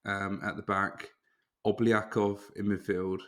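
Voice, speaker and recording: Low, flat, matter-of-fact man. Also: measured pace. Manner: steady, matter-of-fact, listing picks. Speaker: podcast co-host. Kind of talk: casual chat.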